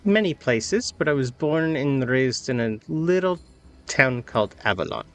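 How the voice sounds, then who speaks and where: A man voicing an ancient, regal woman's spirit who speaks in measured, formal, cryptic phrases in a tabletop game. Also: mid-range voice, lively intonation, fairly bright tone, soft background music. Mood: solemn, weary.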